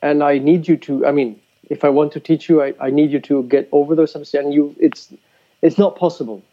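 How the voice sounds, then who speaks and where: A man's voice: fairly high pitch, steady tone, fairly quick, dark tone, highs cut off. A man in casual conversation about music, a teacher who often restarts and repeats words as he thinks aloud.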